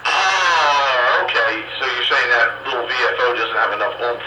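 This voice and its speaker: A man giving technical advice in a casual radio chat, explaining hesitantly and pausing to think. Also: mid-range voice, animated. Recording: distant, echoey, noisy radio transmission.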